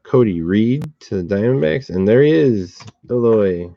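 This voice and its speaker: A slightly hoarse man hosting a card box break, calmly listing each card and who it goes to. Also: lowish voice, highs cut off. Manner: steady, unhurried listing of cards and recipients. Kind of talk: relaxed live box-break commentary.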